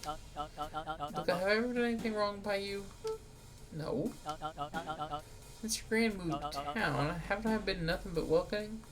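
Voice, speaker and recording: A woman's voice: medium pitch, a little slow, long pauses, over music, lightly acted. A woman voicing a folksy, cajoling older small-town man in a story game.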